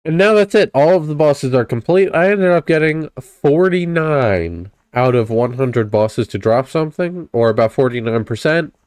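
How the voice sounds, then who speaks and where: A man's voice: medium pitch, darkish tone, clean tone, no echo, studio quality. Upbeat young man getting over a cold. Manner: upbeat, chatty, explains step by step. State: recovering from a cold. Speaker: gaming channel host. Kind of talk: casual gameplay commentary.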